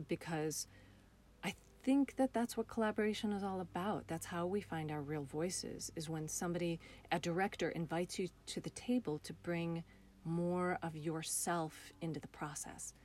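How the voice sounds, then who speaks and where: Woman, theater director in conversation, slightly low register, earnest and expressive. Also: unhurried, slight background noise. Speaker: theater director and actor being interviewed. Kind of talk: reflective interview conversation.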